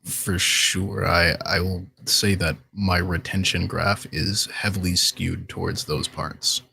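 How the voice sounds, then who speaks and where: A man's voice: low voice, level tone, slow, gravelly, pushed and bright. A man chatting casually on a podcast panel as a voice actor.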